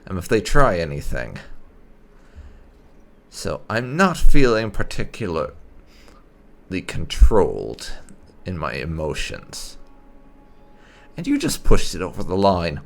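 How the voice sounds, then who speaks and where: A man's voice: sits low, very animated, slow pace, creaky, long pauses, exaggerated voice. A man voicing a proud, short-tempered elf warrior in game roleplay, with an indignant, biting manner. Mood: angry, offended.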